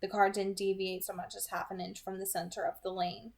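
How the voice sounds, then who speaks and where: Female amateur narrator, medium pitch, noticeable vocal fry, scripted fiction read-aloud. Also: little pitch movement, fairly quick. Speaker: amateur audiobook reader.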